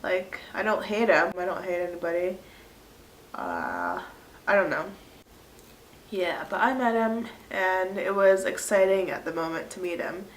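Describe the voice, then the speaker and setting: A woman's voice: fairly low pitch, expressive, a little slow, a little hiss or hum. A woman in her early twenties with a stuffy nose from a cold, chatting casually to her viewers with lots of fillers. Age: early 20s. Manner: chatty, rambling, many "like" fillers. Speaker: vlogger. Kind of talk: casual chat to camera. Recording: echoey room.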